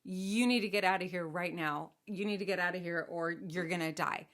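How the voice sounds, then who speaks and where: A woman's voice: fairly low pitch, moderate pace, a bit bright. A woman voicing a brave young princess in a told tale, blunt and insistent, with modern slangy phrasing. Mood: insistent warning.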